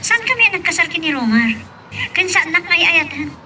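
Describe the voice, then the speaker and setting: A woman's voice: very high voice, very animated, moderate pace, pushed and bright, distant mic, slight background noise, heavily acted. A woman with an Ilocano accent acting a hurt, weary but resolute young mother in a love drama. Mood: bitter, defensive.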